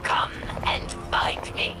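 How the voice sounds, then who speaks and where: Battle-hungry female game alien played by a woman: contemptuous, deliberate, half-whispered rasp beneath loud music. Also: close to an everyday voice. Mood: taunting challenge. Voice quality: hissing, breathy rasp. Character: fierce taunting female alien warrior, proud and battle-hungry. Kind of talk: video game cutscene voice acting.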